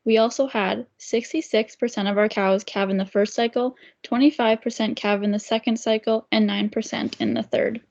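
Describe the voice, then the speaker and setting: A young woman reads statistics evenly in a student presentation. Her voice is fairly high. The recording is dull and lo-fi. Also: steady tone, normal speed, hardly any pauses, a little mellow. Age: young adult. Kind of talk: prepared class presentation.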